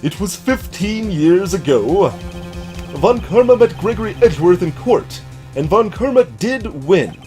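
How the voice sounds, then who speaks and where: A man voicing a grave older lawyer in a video game, speaking in a measured, formal way. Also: high-pitched, very animated, dark tone, faint music behind. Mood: grave, musing. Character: grave, formal older male lawyer recounting old cases.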